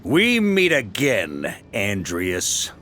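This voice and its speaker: An actor playing a calm, self-assured veteran knight commander in a video game. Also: male voice, on the low side, very slow, long reverb tail, slight background noise, heavily acted. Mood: commanding.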